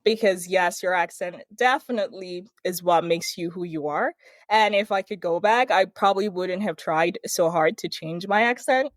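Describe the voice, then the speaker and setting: Woman guest from Nigeria, thoughtful and measured, close dry mic. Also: lowish voice, no echo. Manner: reflective, measured explanations. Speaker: podcast guest, brand consultant. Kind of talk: casual interview conversation.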